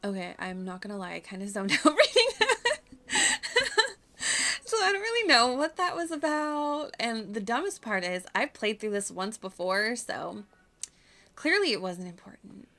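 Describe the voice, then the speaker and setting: A woman's voice: on the high side, big pitch swings, moderate pace, bright, forceful tone. A woman giving casual, playful playthrough commentary as a game streamer, chatty and prone to giggling.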